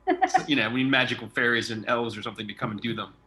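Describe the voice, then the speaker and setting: A man's voice: medium pitch, steady tone, rapid-fire, sharp tone. A man hosting a casual conversational interview, informal and agreeable. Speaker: interviewer, theatre artistic director.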